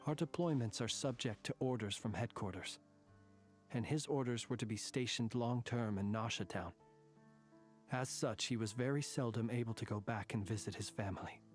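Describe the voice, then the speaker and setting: Man playing a formal soldier-scholar, wordy, dry wit, mid-pitched voice, faint music behind. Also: moderate pace, natural voice. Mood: somber. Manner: formal, wordy and dryly wry. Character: formal, world-weary adult male soldier-scholar. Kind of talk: game voice acting.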